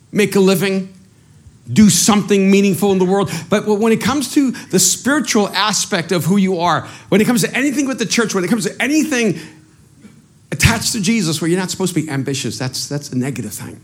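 A middle-aged man from El Salvador whose first language is Spanish, preaching a sermon as a pastor in an animated, self-deprecating way, often repeating words as he restarts. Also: high-pitched.

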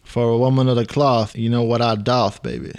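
A man's voice: mid-range voice, flat delivery, brisk, darkish tone, clean tone, no room sound, lightly acted. A man playing a creepy, swaggering 1970s pimp in a comedy radio play, drawing out boastful rhymes and calling everyone baby. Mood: smooth, boastful. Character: creepy smooth-talking 1970s pimp, swaggering lothario hero.

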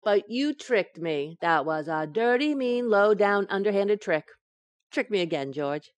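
An actress playing a wisecracking, coy, affectionate young housewife in an old-time radio sitcom, teasing with dry comic delivery. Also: female voice, medium pitch, animated, a little slow, dry recording, lightly acted. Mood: mock indignant.

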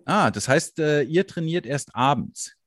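A chatty man hosts a relaxed talk. His voice sits a bit high and swings around animatedly, and the sound is dry and close. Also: a little slow, dry recording. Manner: chatty, joking, frequent laughs and fillers. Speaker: podcast host. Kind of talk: casual interview chat.

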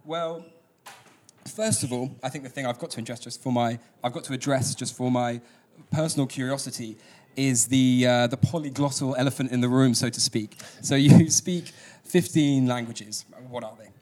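A man's voice: medium pitch, moderate pace, a little mellow. A man with a mid-range voice running an interview. He asks his questions in a friendly, chatty way. He adds playful asides and stumbles a little as he speaks. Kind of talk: casual interview conversation.

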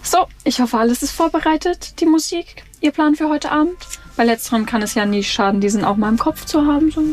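A woman playing a stern, demanding radio station boss in a radio play, brisk and exacting. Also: sits high, lightly acted.

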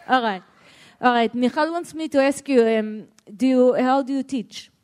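A woman with a high voice moderates a live panel event. She talks in a loose, halting way, full of restarts and easy laughter. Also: darkish tone. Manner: chatty, halting, laughing often.

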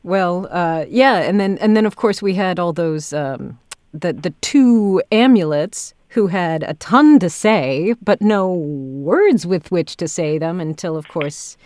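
A woman director hosting a casual podcast interview, thinking aloud in halting phrases. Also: on the low side, lively intonation, a little mellow, dry recording, studio quality. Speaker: audio drama director and interviewer.

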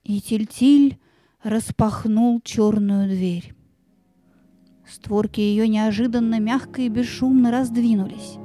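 Mellow, high-voiced woman narrating a fairy tale. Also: steady tone, slow, faint music behind. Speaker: narrator of an audio play.

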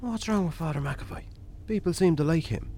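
A man's voice: a little high, brisk, warm tone, a little hiss or hum, lightly acted. A man playing a put-upon, hungover Irish farmer in a radio drama, weary, dry and sardonic. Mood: uncomfortable, placating. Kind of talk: naturalistic radio drama acting.

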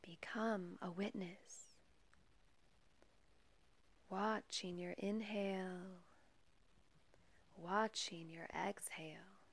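A woman leading a guided meditation in a soft, breathy, partly whispery voice, calm and gentle, with long pauses between measured phrases. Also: mid-range voice. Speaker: meditation guide and podcast host.

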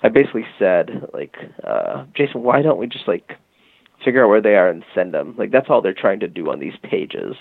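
Man guest, low gravelly voice, rambling storyteller, phone-quality dry audio. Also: lively intonation, fairly quick, no room sound. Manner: rambling, many fillers and "you know". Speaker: guest, tech company executive. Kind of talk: casual storytelling interview.

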